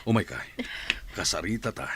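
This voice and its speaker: Stern radio-drama father: lowish, bright, forceful, commanding, with faint hiss behind. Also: lively intonation, moderate pace, vocal fry. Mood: anxious. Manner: commanding, scolding. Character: stern middle-aged father, short on patience.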